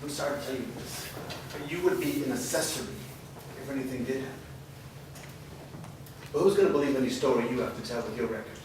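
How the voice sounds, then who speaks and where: A man's voice: medium pitch, quick pace, long pauses, noisy background, close to an everyday voice. A man reading a smug menacing stepfather. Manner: smug, threatening. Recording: roomy echo, far from mic.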